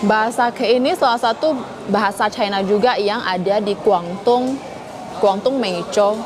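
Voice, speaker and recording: A woman's voice: medium pitch, normal speed, background noise. A young woman from Kalimantan with a Hakka-influenced Indonesian accent, chatting casually with viewers on a live stream. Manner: chatty, addresses viewers as friends.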